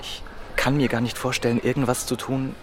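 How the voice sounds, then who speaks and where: A man's voice: medium pitch, flat delivery, background noise, natural voice. A man playing a hurt, defensive teenage schoolboy. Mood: anguished, lovesick. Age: young adult. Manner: emotional, halting with repeated words, swearing. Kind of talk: radio drama acting.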